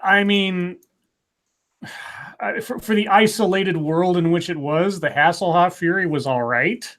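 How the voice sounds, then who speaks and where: A man's voice: sits high, unhurried, a bit bright. A middle-aged man giving casual opinions in an emphatic, sweary way. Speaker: podcast guest.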